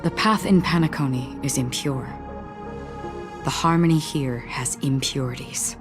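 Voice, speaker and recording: A woman's voice: rumbling low, measured pace. A woman voicing an enigmatic, solemn game heroine who talks in a grave, understated way. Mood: solemn, resolute. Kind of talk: video game voice acting. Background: game soundtrack music.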